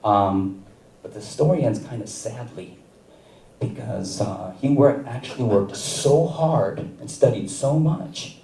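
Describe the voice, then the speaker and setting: A man doing stand-up on a theater stage. His delivery is slow and wry, and his pitch swings around a lot. He is heard from a distance through a stage mic in a roomy hall. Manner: wry, deadpan delivery with chuckles. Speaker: stand-up comedy student. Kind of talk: stand-up comedy set.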